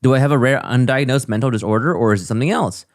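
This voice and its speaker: A man's voice: mid-range voice, racing, no room sound. A man co-hosting a comedy podcast, reading a listener's email aloud in a dry, matter-of-fact way with joking asides. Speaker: co-host.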